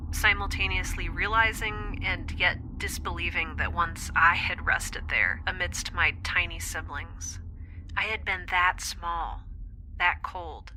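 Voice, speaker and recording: A woman's voice: on the low side, sharp tone, a little hiss or hum, poor audio. A woman playing an anxious young scientist in an audio drama, thinking aloud in a hesitant, confessional monologue. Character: young woman scientist, anxious, introspective, rambling recorded diary.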